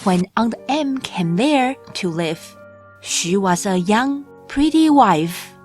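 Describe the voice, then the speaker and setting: A woman reads a children's storybook aloud as an audiobook narrator. Her delivery is slow, measured and deliberate, at a medium pitch. Music plays clearly under her voice. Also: animated, a little mellow. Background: background music.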